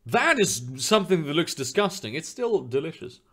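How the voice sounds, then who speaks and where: A man's voice: fairly high pitch, very animated, moderate pace, a bit bright. A young man streaming live, chatting loosely with his viewers in a rambling way, full of fillers and casual swearing. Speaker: streamer reading chat.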